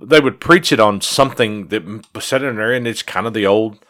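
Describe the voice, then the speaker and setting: A man with a big, powerful, lowish voice, co-hosting a casual podcast about the Bible. He talks quickly and tells stories with a self-mocking humor. He preaches plainly, without any sing-song pulpit cadence. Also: fairly bright tone. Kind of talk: casual chat on Bible interpretation.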